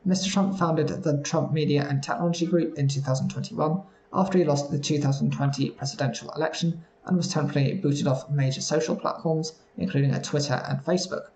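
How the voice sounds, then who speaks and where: Guy reading news articles, bit high voice, steady, echoey room. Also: fairly quick, vocal fry, hardly any pauses. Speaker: news reader.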